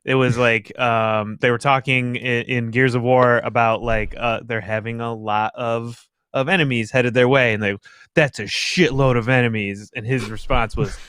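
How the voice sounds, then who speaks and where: A man's voice: mid-range voice, steady tone, normal speed, fairly bright tone, clean tone, dry recording, studio quality. A man, telling things loosely and informally as a podcast panelist. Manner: loose, informal storytelling with swearing. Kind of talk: casual chat.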